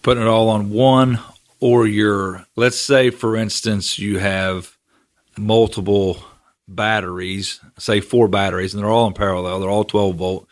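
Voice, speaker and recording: A male co-host with a lowish voice, walking through technical points in a steady, casual way. He leans on "you know" fillers as he explains. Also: a little slow. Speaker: co-host, general manager.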